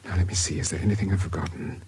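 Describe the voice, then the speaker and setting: A polite, furtive British gentleman of middle age in a radio play. His voice is low and husky, with an educated, hesitant way of speaking. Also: fairly even intonation, rapid-fire. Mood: quietly scheming. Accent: educated British English. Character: mild, secretive grey-haired gentleman schemer. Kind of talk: radio drama acting.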